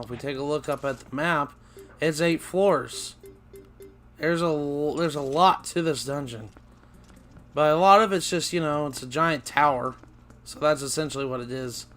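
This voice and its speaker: A man hosting a video game let's play, losing his voice and sounding raspy, rambling and correcting himself as he talks. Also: fairly high pitch, moderate pace, fairly bright tone, slight background noise. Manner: rambling, self-correcting, chatty. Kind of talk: casual gameplay commentary.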